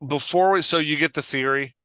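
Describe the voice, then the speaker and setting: A man's voice: fairly high pitch, a little fast, pushed and bright, clean tone, no room sound. A man hosting and coaching in a conversational, explanatory way, often checking that the listener follows. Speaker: podcast host and writing coach.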